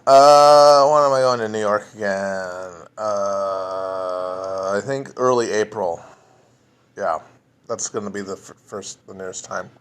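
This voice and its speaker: A man's voice: fairly low pitch, steady tone, slow, smooth. A man rambling and swearing as he chats alone to a livestream, full of restarts and trailing asides. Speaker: podcast host answering chat. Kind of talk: casual livestream chat. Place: home, alone at a phone or computer.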